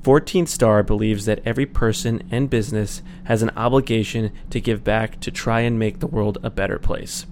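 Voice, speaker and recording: A man's voice: lowish voice, steady tone, moderate pace, few pauses, a little mellow. A man delivering a scripted sponsor read for a podcast. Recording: faint background ambient noise.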